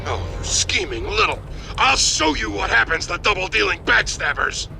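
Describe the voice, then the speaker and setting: A raspy, high-pitched crook whose voice leaps around as he blusters and whines. Loud music and explosions roar behind him in the game scene. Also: sing-song, normal speed, exaggerated voice. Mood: furious. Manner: blustering, then whiny. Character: sneaky cowardly male mercenary boss.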